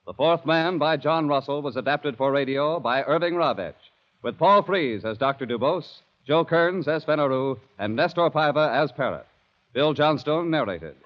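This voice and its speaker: A man announcing in a scripted 1940s radio style. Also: on the high side. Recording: lo-fi vintage radio broadcast. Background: faint music.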